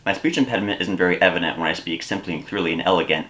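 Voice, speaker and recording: A young man with a deep yet bright voice delivers scripted comedy in a deadpan, rambling way. He is recorded on a camera mic indoors in a small room. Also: rapid-fire. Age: young adult. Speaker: comedy YouTuber.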